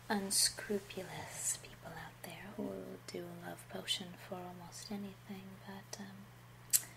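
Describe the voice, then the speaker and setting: A woman playing a genteel, confiding love-potion witch in an ASMR roleplay, speaking gently in a breathy half-whisper. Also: medium pitch, noisy background. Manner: slow, gentle, unhurried, confiding. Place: small home room, indoors.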